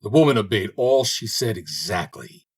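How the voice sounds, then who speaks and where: Husky, animated male storybook narrator. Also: on the low side, moderate pace. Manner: dramatic, expressive storytelling. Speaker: audiobook reader. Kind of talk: scripted fairy tale narration.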